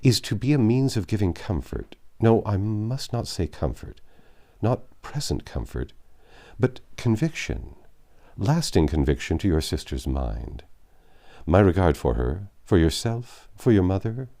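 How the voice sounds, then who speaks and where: A man voicing an earnest, anxious Regency gentleman colonel from a novel, speaking in a halting, formal, self-correcting way. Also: sits low, very animated, vocal fry, full character voice. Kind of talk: storybook character reading.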